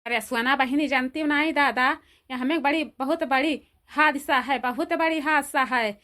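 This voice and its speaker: A woman with an Awadhi accent playing a grieving, middle-aged village mother-in-law in a cartoon drama, plaintive and drawn-out in her lamenting. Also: high-pitched, sharp tone. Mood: anguished lament. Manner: plaintive, drawn-out lamenting, breaking into mournful song. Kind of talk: amateur cartoon drama dub.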